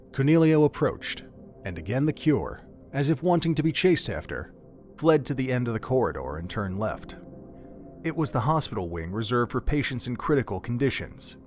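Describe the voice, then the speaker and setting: A man's voice: medium pitch, slight background noise. A man reading scripted narration for an audio drama in a solemn, literary storytelling manner.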